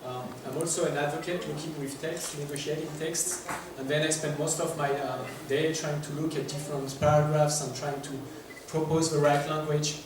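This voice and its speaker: A man's voice: fairly high pitch, little pitch movement, moderate pace, hardly any pauses, music in the background. A man with a French accent giving a workshop presentation in English, hesitant and full of filler words, asking the audience questions. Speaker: workshop speaker. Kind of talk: conference presentation. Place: large echoey conference room. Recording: echoey distant room recording with noise.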